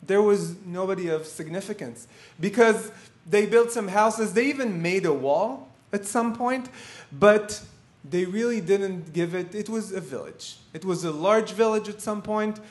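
This is a boastful, proud narrator voice, put on by a man mid-lecture. It is assertive and dismissive, its pitch sitting a little high. The sound rings in a roomy hall. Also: unhurried, few pauses. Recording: echoey hall.

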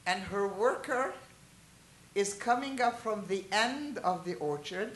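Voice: medium pitch, a little hiss or hum. Chatty Israeli-born teacher in an echoey room. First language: Hebrew and Hungarian. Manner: chatty, asks the listeners questions, animated asides. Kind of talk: informal lecture to a small group. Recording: roomy echo.

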